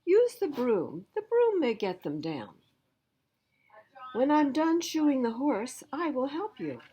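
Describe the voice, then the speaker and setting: A woman's voice: high voice, very animated, slow pace, mellow, voice pushed up, full character voice. A woman voicing a busy storybook farm relative who gives emphatic, practical instructions. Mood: brisk, helpful.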